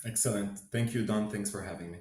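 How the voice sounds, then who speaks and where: A middle-aged man from Israel, a guest in an interview, answering in a measured, reflective way with some fillers. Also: fairly low pitch, steady tone, a little mellow. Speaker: guest, company finance executive. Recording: roomy echo.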